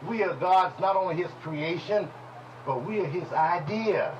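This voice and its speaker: A man teaching a congregation in a preaching style, folksy and call-and-response, with speech, dropping at times to low murmurs. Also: a little slow, highs cut off, a little hiss or hum. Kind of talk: preaching Bible lesson. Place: congregation hall.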